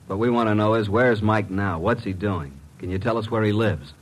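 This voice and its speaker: An actor playing a brisk, streetwise detective's assistant in an old radio drama, matter-of-fact, on a faintly noisy recording. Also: male voice, on the low side, natural voice.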